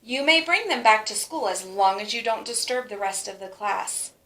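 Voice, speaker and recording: A woman's voice: medium pitch, normal speed, bright, forceful tone. A woman voicing a kindly, forgiving male schoolteacher in a storybook, speaking encouragingly. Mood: approving. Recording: roomy, echoing sound.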